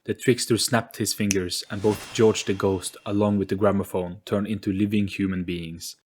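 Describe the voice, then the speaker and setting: A man's voice: lowish voice, flat delivery, normal speed, a little hiss or hum. A man narrating a story as an audio play in a dramatic, scripted storybook style. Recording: faint music behind narration. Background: soft background music.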